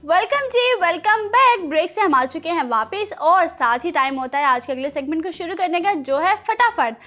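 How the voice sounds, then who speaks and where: A woman with a Pakistani accent presenting a children's show in a playful, chatty, animated way. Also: very high-pitched, normal speed, faint music behind. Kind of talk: playful quiz and segment presenting.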